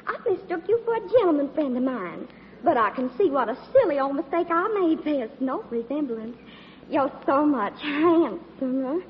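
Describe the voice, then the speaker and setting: High, sugary scheming southern belle. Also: female voice, animated, normal speed. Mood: coy, gushing. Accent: put-on American Southern. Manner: coy, gushing, theatrical. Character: scheming flirtatious movie actress faking a sugary southern belle. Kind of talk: mid-century American comedy film acting. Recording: echoey, dull old film soundtrack, noisy background.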